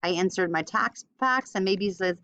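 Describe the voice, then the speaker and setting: A woman teaching a step-by-step software tutorial informally, thinking aloud as she demonstrates. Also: mid-range voice, normal speed, no room sound, highs cut off. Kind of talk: casual screen-recorded step-by-step tutorial.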